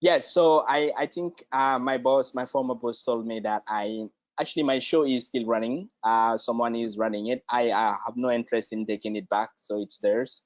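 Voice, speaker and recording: A man from Guinea, a guest speaking in a halting way and often repeating words as he searches for them. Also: medium pitch, steady tone, dry recording. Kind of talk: conversational interview. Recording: dry, slightly dull lo-fi sound.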